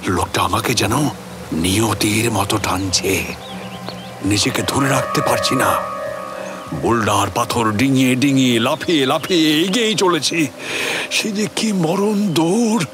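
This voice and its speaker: A man's voice: mid-range voice, measured pace, long pauses, music in the background, lightly acted. An actor playing an obsessed middle-aged family man who recounts a haunted pursuit in a theatrical, intense suspense narration. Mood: feverish. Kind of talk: dramatic first-person audio story narration.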